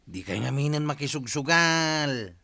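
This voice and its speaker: A man's voice: a little high, lively intonation, a little slow, creaky, sharp tone, dry recording, full character voice. An actor with an Ilocano Filipino accent playing a scolding man fed up with gambling in a radio comedy-drama, often exclaiming. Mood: reproachful.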